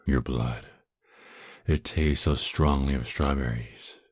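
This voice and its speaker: A man performs an ancient vampire lord in an ASMR roleplay, his voice extremely deep and recorded close and dry. He takes his time over each phrase, condescending and teasing. The temper is dominant, smug and seductively menacing. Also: steady tone, slow, no room sound, full character voice. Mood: taunting.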